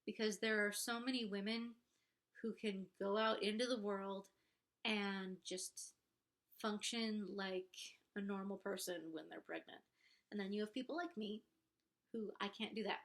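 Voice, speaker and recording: Congested young woman, slow candid talk. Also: medium pitch, fairly even intonation, fairly bright tone. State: congested, pregnant. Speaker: vlogger. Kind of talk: casual confessional talk to camera.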